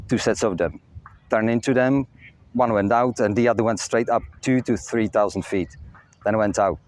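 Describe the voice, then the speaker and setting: A young man with a Czech accent, hosting his own channel and telling stories in a steady, matter-of-fact way. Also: on the low side. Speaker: channel host. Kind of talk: scripted narration. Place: outdoors near a castle.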